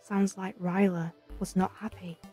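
A woman's voice: mid-range voice, level tone, unhurried, dark tone, husky, soft background music. A woman playing a wry, spirited young adventurer companion in an audio drama.

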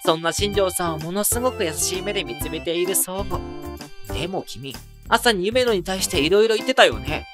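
A man playing a wry, self-mocking high school boy who narrates an anime audio drama with dry asides. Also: expressive, normal speed, over music.

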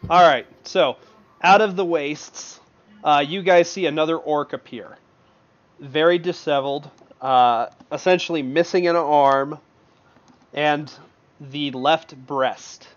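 A man's voice: a little high, slow pace. A man narrating as game master in an online tabletop session, loose and full of fillers, laughing often. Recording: dull lo-fi voice chat audio.

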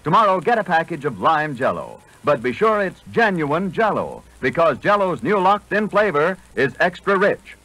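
Animated 1940s radio pitchman. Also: moderate pace, few pauses, no room sound, poor audio. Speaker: radio announcer. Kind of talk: 1940s radio ad read, polished and enthusiastic. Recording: vintage 1940s radio broadcast.

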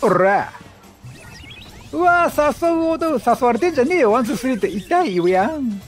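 A man doing chatty game commentary, animated, talking to himself and to the characters on screen. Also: very high voice, moderate pace, faint music behind. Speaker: gameplay commentator.

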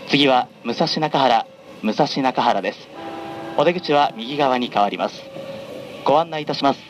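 A man with a medium-pitched voice gives formal train announcements as the conductor. His delivery is measured and polite, read from a script. The clear noise of the moving train runs along with his voice. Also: rough recording. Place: onboard a running train, over the announcement system. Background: train running noise.

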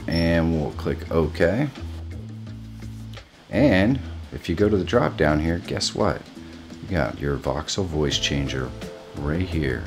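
A middle-aged man hosting a casual step-by-step software tutorial. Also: a bass voice, animated, slow pace, long pauses, soft background music. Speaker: tutorial host. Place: small home room, indoors.

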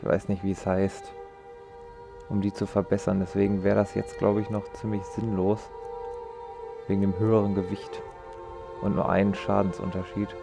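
Man, gaming commentary, rambling, joking, gravelly, game music under. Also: lowish voice, level tone, moderate pace, pauses often. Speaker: let's play host. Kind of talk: casual gameplay commentary.

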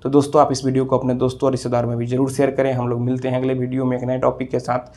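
A man's voice: mid-range voice, completely flat delivery, very fast, darkish tone. A man, giving explanatory advice to camera as a video host in an instructive, repetitive way. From India. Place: small office room, indoors.

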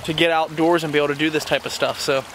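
A man's voice: a little high, steady tone, fast, fairly bright tone, slight background noise. A young man, hosting a casual outdoor vlog, talking upbeat to a handheld camera while walking. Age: young adult. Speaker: vlog host. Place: outdoors by a creek and cemetery. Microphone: handheld camera at arm's length.

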